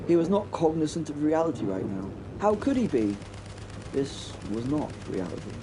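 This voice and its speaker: An actor playing a haunted but plucky biplane pilot, telling his story in a halting, wryly understated horror audio drama monologue. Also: a little high, warm tone, slight background noise, close to an everyday voice, rough recording. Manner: halting, repeating words, wry understatement. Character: British First World War biplane pilot, haunted, plucky, recounting battle.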